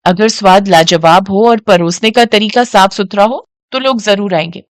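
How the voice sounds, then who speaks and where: A woman's voice: mid-range voice, moderate pace, no room sound, clean studio sound, natural voice. A woman voicing a clever young wife in a moral story, reassuring and practical. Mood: reassuring, confident.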